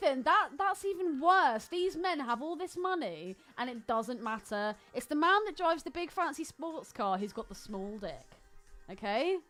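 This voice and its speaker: Animated high-pitched woman, gossipy. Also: normal speed, faint music behind. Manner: gossipy, blunt, swearing freely; emphatic, repeats phrases for stress. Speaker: podcast co-host. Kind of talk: casual chat.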